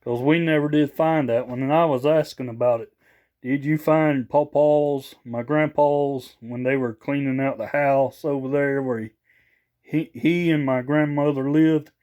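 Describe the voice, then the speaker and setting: A man's voice: mid-range voice, fairly even intonation, unhurried, a little mellow. A slightly tired man, rambling casually in a folksy, unscripted show-and-tell for a vlog. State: a little tired after work. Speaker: vlogger showing off a new CB radio.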